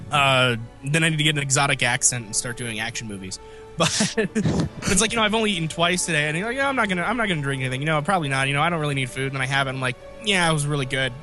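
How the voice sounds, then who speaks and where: A man co-hosting a casual podcast, telling rambling stories with a slightly phlegmy, raspy voice. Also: fairly high pitch, fast, pushed and bright, faint music behind. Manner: rambling, self-interrupting storyteller. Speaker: podcast co-host. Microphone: remote call mic.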